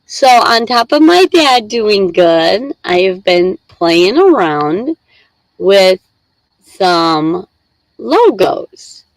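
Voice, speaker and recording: Congested woman chatting slowly on a live stream. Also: mid-range voice, very animated, no echo, rough recording. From Michigan. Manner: chatty, rambling, reads chat aloud. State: congested with a head cold. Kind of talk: casual live stream chat.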